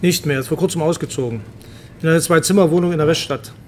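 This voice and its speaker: A man's voice: on the high side, quick pace, a little mellow, slight background noise, close to an everyday voice. A man playing an earnest, dutiful police detective in a radio crime drama, matter-of-fact and cooperative.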